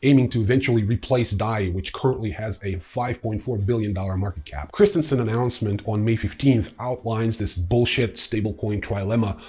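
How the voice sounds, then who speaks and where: Male newsreel host: fairly deep, distinctly husky delivery, reading prepared stories with informal, opinionated commentary. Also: brisk, few pauses, a little mellow. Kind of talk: scripted news narration with casual opinionated asides.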